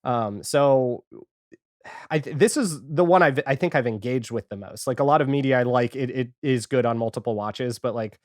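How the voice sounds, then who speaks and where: A man's voice: mid-range voice, moderate pace, no echo, studio quality. A man chatting casually as a podcast guest, enthusiastic and rambling, with lots of filler 'like'. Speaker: podcast guest, audio fiction creator. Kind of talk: casual chat.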